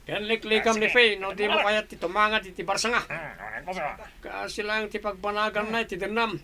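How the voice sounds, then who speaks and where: An actor playing a menacing, bullying robber in a radio drama. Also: male voice, sits high, little pitch movement, normal speed, crowd noise. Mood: threatening.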